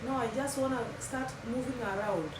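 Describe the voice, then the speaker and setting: A woman's voice: a little high, moderate pace. A woman in her thirties from Kenya with a Kenyan accent, whose first language is Kimeru, telling her story to viewers in a chatty vlog and dropping Swahili phrases. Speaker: vlogger. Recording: echoey room from far off, loud background hum.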